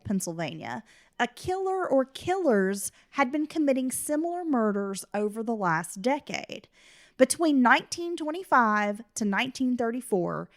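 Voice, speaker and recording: A woman co-hosting a true crime podcast, stuffed up and congested from a cold, reading out the case details between casual chat. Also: a little high. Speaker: podcast co-host narrating the case.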